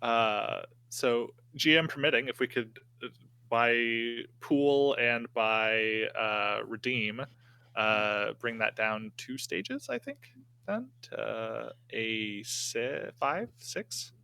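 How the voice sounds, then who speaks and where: A man's voice: mid-range voice, slow pace, creaky, sharp tone, clean tone. A man playing a tabletop game, chatting casually and hesitantly as he thinks aloud.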